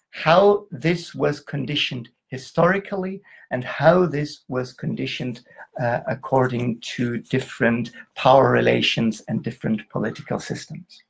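A middle-aged man from Germany giving an online lecture over a video call, talking in a halting way with restarts. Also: mid-range voice, gravelly, poor audio. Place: indoor room, video call.

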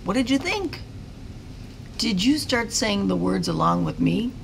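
A middle-aged woman, a teacher, chatting casually to young pupils in an animated, questioning way. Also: medium pitch, measured pace, gravelly. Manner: animated, questioning, addressing children. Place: school classroom, indoors. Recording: very noisy classroom recording.

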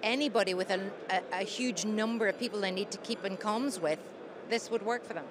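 A woman's voice: medium pitch, a little fast. A woman in her thirties conducting a conversational interview at a trade show. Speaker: interviewer, former reporter. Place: busy trade show hall booth. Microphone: handheld branded interview microphone. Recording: clear busy exhibition hall noise.

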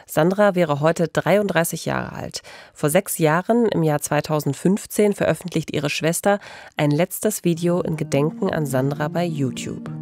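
A woman, narrating a true-crime podcast as host in a measured, scripted style. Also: low-pitched, a little mellow, dry recording, clean studio sound. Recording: voice over music bed.

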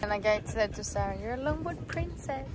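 A woman's voice: a little high, animated, fairly quick, background noise. A young woman in her twenties chatting casually for a travel vlog, giggly and full of fillers, filmed outdoors on a handheld camera. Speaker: vlogger. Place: outdoors on a beach and dirt road.

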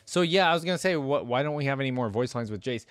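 A man's voice: mid-range voice, expressive, fast, a bit bright, smooth, no room sound. A young man giving casual, rambling reaction commentary as host, close to a desk microphone. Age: young adult. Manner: rambling, informal. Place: indoor room at a desk. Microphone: close desk microphone, headset worn.

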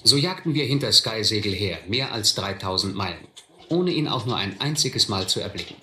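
A reflective storyteller recalls his life as a young vagabond in a scripted radio play. His voice is rather low yet very bright and forceful, in a roomy recording. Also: lively intonation, normal speed, slight background noise. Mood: reflective, grave. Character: reflective adult male narrator recalling his vagabond youth. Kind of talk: scripted first-person narration in a radio drama.

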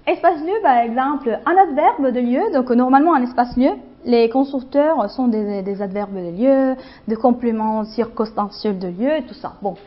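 Italian-accented woman lecturing, many pauses. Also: fairly high pitch, lo-fi sound. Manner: hesitant, many fillers and restarts, checks understanding. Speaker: guest lecturer. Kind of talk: university lecture.

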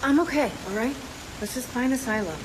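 A woman's voice: high voice, fairly quick, lightly acted. An actress playing a stubborn young female soldier in a video game, speaking in a wry, casual way. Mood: defensive. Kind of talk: video game cinematic acting. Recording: loud game ambience and vehicle noise.

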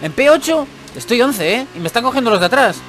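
A man's voice: very high-pitched, lively intonation, fast talker, fairly bright tone, faint music behind. A man giving casual, chatty gameplay commentary who swears and grumbles when things go wrong. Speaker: gaming YouTuber.

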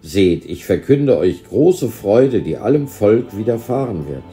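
Brief: a man playing a storybook angel, majestic herald, solemn proclamation, lifted above his natural pitch. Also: sing-song, measured pace, dark tone, soft background music. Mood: solemn proclaiming. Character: male angel, majestic herald of great joy.

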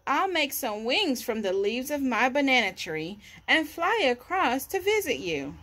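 A woman's voice: high voice, big pitch swings, a little slow, a bit bright, a little hiss or hum, exaggerated voice. A woman voicing an eager, friendly little storybook chimp with an exclamatory manner.

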